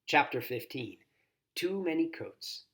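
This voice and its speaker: A man's voice: on the high side, sing-song, slow pace, a bit bright. Man reading a children's novel aloud. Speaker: volunteer story reader. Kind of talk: expressive children's book read-aloud.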